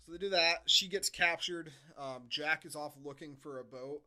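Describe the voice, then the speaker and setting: A man with a slightly high-pitched, very bright and forceful voice chats casually as a podcast co-host. He recaps a film in a comedic, sardonic style, as informal conversation. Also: moderate pace.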